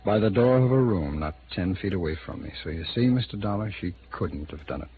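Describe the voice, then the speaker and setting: A man acting a smooth-talking but defensive American city attorney in his thirties, in an old-time radio drama. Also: low-pitched, animated, a little fast. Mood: measured, hesitant. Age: 30s. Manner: hesitant, defensive, conversational. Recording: phone-like, noisy old radio recording.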